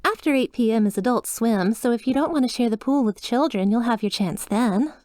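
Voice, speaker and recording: A woman's voice: on the high side, brisk, darkish tone, no echo, studio quality, lightly acted. A woman voicing a chipper, sweetly reassuring demon resort receptionist with a polished, over-polite customer service voice in a scripted ASMR roleplay. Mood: delighted, eager.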